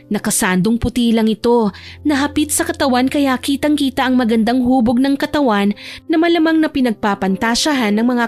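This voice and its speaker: A woman's voice: fairly high pitch, a little mellow. A woman reading scripted narration as the storyteller of a romance audio drama. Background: background music.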